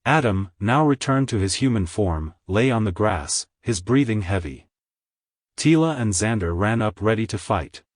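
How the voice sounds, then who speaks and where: A man reading scripted story narration in a dramatic, measured storytelling manner. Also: fairly low pitch, expressive, no room sound, clean studio sound. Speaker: narrator, fan fiction reader.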